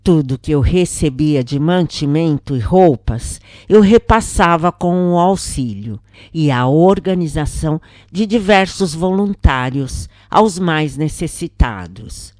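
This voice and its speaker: Low, mellow Brazilian woman narrating slowly. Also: expressive, no echo, clean studio sound. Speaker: narrator and channel host. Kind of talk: scripted narration of a spiritual account.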